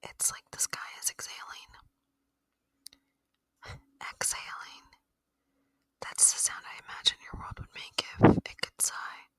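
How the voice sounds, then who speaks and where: A woman voicing a gentle, lonely, curious alien in a soft, wistful, intimate ASMR roleplay whisper. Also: unhurried, long pauses, dry recording, lightly acted, low-quality recording. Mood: hushed wonder.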